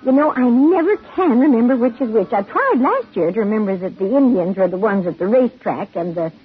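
A woman's voice: a little high, animated, a little fast, slightly rough. Fussy mother, tinny old radio. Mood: fussing, amiable. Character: scatterbrained, mildly scolding housewife and mother. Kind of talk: 1950s radio acting. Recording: tinny 1950s radio recording.

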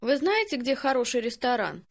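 A woman with a high voice reads model phrases for learners. She says each line slowly and deliberately, clearly pronouncing every word. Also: very animated. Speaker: native speaker in language drills. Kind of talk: slow, careful model phrases.

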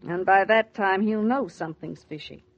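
A woman's voice: medium pitch, moderate pace, natural voice. Actress for wry, disapproving mother, middle-aged. Dry, precise 1940s radio delivery, lo-fi sound. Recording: dull, lo-fi vintage 1940s radio.

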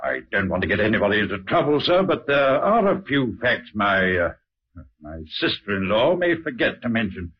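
A man's voice: fairly low pitch, big pitch swings, moderate pace, husky. An actor playing a hesitant, deferential man who insinuates things to police in an old radio drama. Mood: hesitant, insinuating. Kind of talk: old-time radio acting. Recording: muffled old radio recording.

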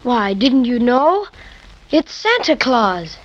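A woman's voice: fairly high pitch, very animated, slow pace, music in the background. A woman playing a polite, helpful little elf doorkeeper in a 1930s children's radio serial, courteous and welcoming. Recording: dull, hissy 1930s radio recording.

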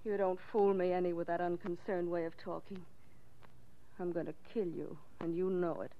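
An actress playing a vengeful, unstable murderous widow with intense, theatrical 1940s radio delivery. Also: female voice, on the low side, steady tone, normal speed, close to an everyday voice. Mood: cold menace. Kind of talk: 1940s radio melodrama acting. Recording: dull 1940s radio recording.

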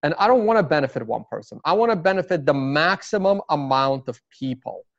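A man's voice: on the high side, lively intonation, brisk, vocal fry, no echo. A man from Russia with a Russian accent, a native Russian speaker, talking as an interview guest in a rambling way, full of fillers and restarts. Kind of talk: casual interview talk. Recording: dry, slightly dull sound.

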